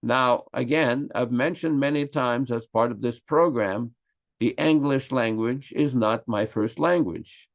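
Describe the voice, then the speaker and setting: A man's voice: mid-range voice, measured pace, gravelly. Earnest man lecturing. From Quebec, Canada. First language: French. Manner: earnest, emphatic, repeats himself. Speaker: temple speaker. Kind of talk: devotional lecture. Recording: dull lo-fi recording.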